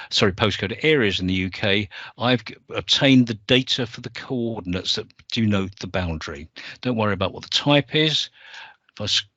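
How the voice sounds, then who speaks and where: A man with a low voice talks through a software demonstration from a screen share, methodical and prone to small self-corrections. The sound is dry and close, with the slightly dull, muffled tone of a conference call. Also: dry recording. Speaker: software presenter.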